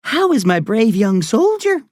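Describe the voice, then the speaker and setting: A man voicing a kindly, cheeky Irish woman doctor in her late fifties for an audiobook, brisk and playful. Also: medium pitch, big pitch swings, a little mellow, dry recording, studio quality, pitched above the performer's own voice. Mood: playful, encouraging. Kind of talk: audiobook character voice.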